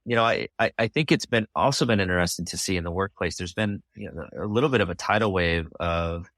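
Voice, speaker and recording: A man's voice: deep voice, fast, fairly bright tone, no room sound, clean studio sound. A man hosting a podcast, talking in a conversational interview style. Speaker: podcast host.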